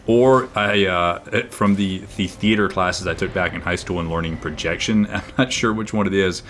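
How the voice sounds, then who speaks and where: A man with a naturally booming, projecting voice, giving casual gameplay commentary with lots of fillers and restarts. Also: normal speed, a bit bright. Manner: rambling, with frequent fillers and restarts. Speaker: gaming video creator. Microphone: own microphone, level turned down.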